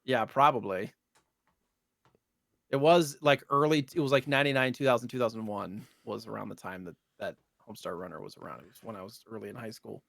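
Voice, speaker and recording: A man in his thirties co-hosting a casual gaming chat podcast, talking in a halting way with trailing asides. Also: fairly low pitch, gravelly, a bit bright, no room sound. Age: 30s. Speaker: podcast co-host.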